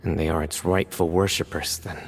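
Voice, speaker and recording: A man's voice: low voice, fairly even intonation, brisk. An actor playing a stern, reserved young male guardian who talks tersely and seriously. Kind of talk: video game voice acting.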